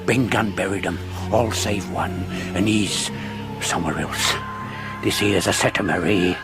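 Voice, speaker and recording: An actor playing a half-crazed marooned old sailor in a radio drama, rambling and repeating himself. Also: male voice, low-pitched, a little slow, music in the background. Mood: hushed, eerie.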